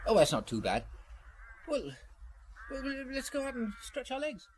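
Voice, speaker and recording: A man's voice: very high voice, huge pitch swings, moderate pace, slight background noise, exaggerated voice, rough recording. An actor playing a genial, over-friendly businessman in a radio drama, stammering and nervously eager to please. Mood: apologetic. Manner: effusive, stammering, chuckling.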